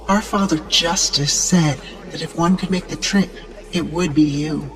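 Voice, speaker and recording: A ceremonious video game shrine warrior. The fairly high voice declaims slowly and formally, solemn, over faint music. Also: male voice, a bit bright. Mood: solemn welcome. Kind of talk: video game character acting.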